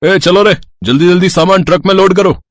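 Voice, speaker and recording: A man's voice: high voice, a little fast, dry recording, close to an everyday voice. A man playing a bossy merchant in a dramatised story, giving curt, commanding orders. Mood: gruffly impatient. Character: brusque, bossy merchant boss ordering labourers.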